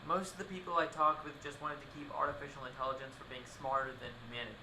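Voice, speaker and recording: A man's voice: a little high, level tone, very fast, bright, forceful tone. A man acting in a radio play as an exasperated, condescending witness to a tech disaster. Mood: candid, weary. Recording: echoey, clearly noisy location recording.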